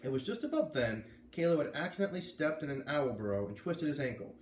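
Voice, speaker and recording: A man narrates a storybook aloud with lively, expressive pitch movement. The room is clearly echoey, with a little noise behind him. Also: fast. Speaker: storybook reader.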